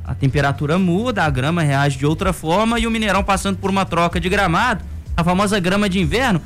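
High-voiced Brazilian man, chatty sports talk. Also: a bit bright, faint music behind. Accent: Brazilian Portuguese. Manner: joking, chatty, quick asides. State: coming down with a cold. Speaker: sports radio co-host. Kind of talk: casual sports radio chat.

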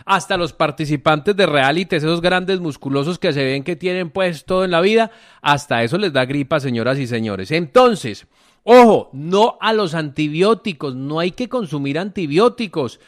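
A man's voice: fairly high pitch, expressive, normal speed, dry recording, studio quality. A Colombian man giving conversational health advice on the radio as a natural medicine doctor, talking to listeners in a folksy, colloquial way. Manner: folksy, colloquial, addresses listeners directly with diminutives.

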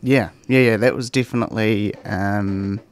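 A young man with a New Zealand accent, chatting as a guest on a talk show with a relaxed manner and lots of ums. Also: lowish voice, moderate pace, no echo. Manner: relaxed, many ums and fillers. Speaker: guest, cycling content creator. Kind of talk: casual interview chat.

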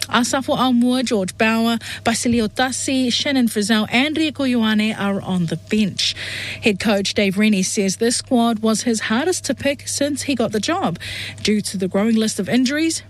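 A woman reading radio news bulletins in a steady, formal way. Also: a little high. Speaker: news presenter.

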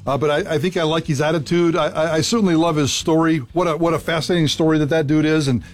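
A man's voice: on the high side, steady tone, fast, soft background music. A man co-hosting a sports radio show, talking enthusiastically and often restarting his sentences. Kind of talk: casual sports talk.

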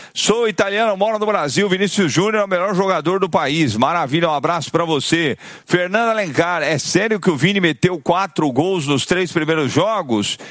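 A man's voice: on the high side, fairly bright tone, no echo, studio quality. A man with a Brazilian Portuguese accent hosting a live radio sports call-in show, chatting and reading listener messages aloud.

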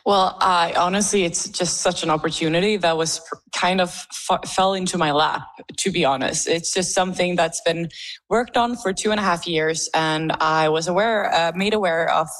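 Young woman, lowish voice, talks slow and careful, lots of restarts while she finds her words. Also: fairly bright tone. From Sweden. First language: Swedish. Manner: thoughtful, searching for words with many restarts. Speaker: art student in a summer program. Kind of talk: casual interview answers.

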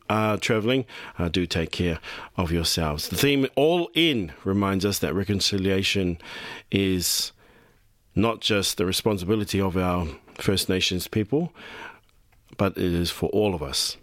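A man's voice: lowish voice, moderate pace, no echo. A man hosting a community radio program from a studio, talking in a loose, rambling way with many restarts. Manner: warm-hearted, rambling, many fillers and restarts. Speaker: radio host. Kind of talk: community radio presenting and interviewing.

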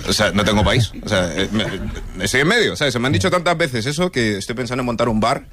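A man's voice: mid-range voice, lively intonation, quick pace, a crowd in the background. A man from Nigeria who grew up partly in Spain, a native English speaker, telling stand-up stories conversationally. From Nigeria, moved to Spain as a child. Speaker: stand-up comedian. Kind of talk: stand-up comedy storytelling.

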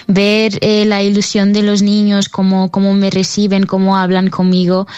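A woman's voice: mid-range voice, completely flat delivery, moderate pace, darkish tone, clear, resonant tone, dry recording, dull audio. A woman in her twenties with a Portuguese accent, a professional fighter answering interview questions with hesitant, repeated restarts. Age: 20s.

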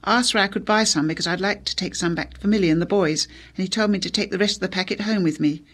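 A woman's voice: medium pitch, expressive, brisk, husky, voice pushed up. A woman voicing a brave, upset teenage girl who speaks earnestly, as a character in an audiobook. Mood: wistful. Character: brave teenage daughter, upset but holding herself together.

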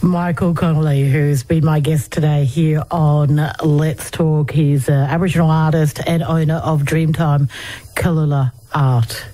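A woman's voice: low voice, fairly even intonation, measured pace, warm tone. A woman hosting a radio interview, asking questions in a friendly, probing way with some fillers. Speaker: radio host.

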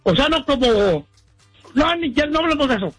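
A man's voice: very high-pitched, big pitch swings, moderate pace, no echo, soft background music. A man calling in to a sports radio show, chatting casually with apologies and stumbling restarts. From Mexico. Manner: rambling, apologetic, stumbling over words. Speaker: caller. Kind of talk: casual call-in chat.

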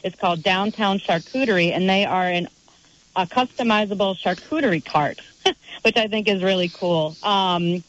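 A woman's voice: medium pitch, moderate pace, muffled recording. A woman event organizer in an interview, upbeat and eagerly listing what is on offer. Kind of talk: casual interview chat.

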